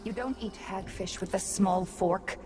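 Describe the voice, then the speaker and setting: A woman's voice: medium pitch, a little slow, background noise, close to an everyday voice. A woman voicing a haughty, prim game character who scolds like an aristocratic matron. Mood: haughty scolding. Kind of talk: video game character banter.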